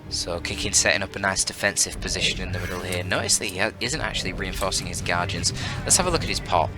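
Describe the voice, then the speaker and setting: Low-pitched man casting a strategy game. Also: quick pace, music in the background. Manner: candid, analytical, casually sweary. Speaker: esports match caster. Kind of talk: live strategy game commentary.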